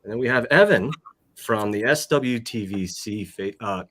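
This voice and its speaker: A man's voice: lowish voice, animated, normal speed, fairly bright tone. A man hosting a casual livestream over a video call, friendly and rambling as he greets guests and reads out names. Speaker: host.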